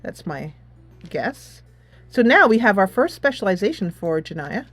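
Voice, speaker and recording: Woman, gaming host, casual commentary, thinking aloud, slow pace, dry sound. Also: medium pitch, very animated, no echo, faint music behind. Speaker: gaming channel host playing a tactics game.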